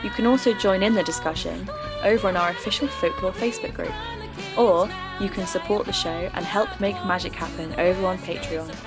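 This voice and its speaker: A woman playing a reflective podcast host, reading scripted narration in a measured, confiding way. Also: lowish voice, close to an everyday voice. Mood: friendly, measured. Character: reflective female podcast host investigating folklore mysteries. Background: music.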